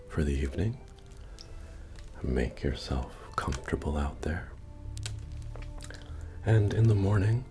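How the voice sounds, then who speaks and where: Man playing a very deep, slow, hushed innkeeper. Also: heavily acted. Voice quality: deep, breathy, often near a whisper. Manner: slow, soothing, gently repetitive. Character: friendly, humble male innkeeper of a medieval fantasy tavern. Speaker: ASMR roleplayer. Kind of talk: soft-spoken ASMR roleplay. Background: music.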